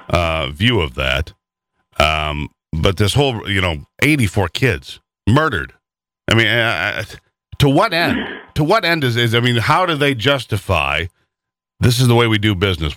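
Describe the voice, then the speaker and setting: Low-ish bright guy hosting a talk show, kinda indignant, stumbles a lot, dry studio sound. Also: no room sound. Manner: indignant, stumbling over his words. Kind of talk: news talk interview.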